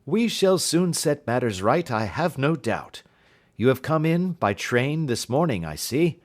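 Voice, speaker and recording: A man voicing a brisk, confident, courteous gentleman detective in an audiobook, speaking in precise, formal Victorian phrases. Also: mid-range voice, sing-song. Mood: soothing. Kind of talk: audiobook character voice.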